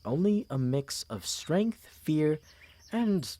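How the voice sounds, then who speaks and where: A man's voice: a little high, slow, exaggerated voice. Man acting a smug, swooping fantasy prince. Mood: condescending. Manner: smooth, pompous, theatrically expressive. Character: smug, condescending young prince courting a princess. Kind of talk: ASMR fantasy audio roleplay.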